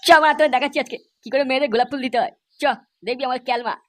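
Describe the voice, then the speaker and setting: A man's voice: very high voice, steady tone, racing, fairly bright tone. A man voicing a cocky, lecherous young man in a crude comedy cartoon, slangy and full of swearing. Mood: boastful.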